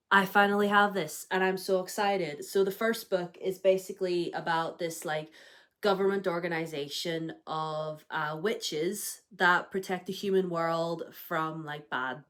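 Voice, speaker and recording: A woman's voice: fairly low pitch, fairly bright tone. Young woman, bit of a cold, chatty book vlogger, lots of fillers. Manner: chatty, lots of ums and likes. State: has a cold, may cough. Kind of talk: casual chat.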